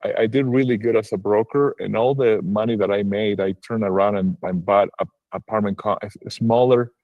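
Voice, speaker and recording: Hesitant low-voiced man from Argentina. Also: fairly even intonation, fairly quick, no echo, narrow, tinny audio. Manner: hesitant, many restarts and fillers. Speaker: real estate broker and investor. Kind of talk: casual livestream call-in chat. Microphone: video call.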